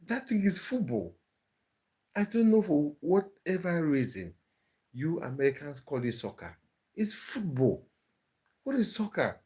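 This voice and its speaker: A man's voice: a little high, very animated, a little slow, long pauses, mellow, husky. A man hosting a casual live stream, reading viewers' comments aloud and answering them with easy laughter.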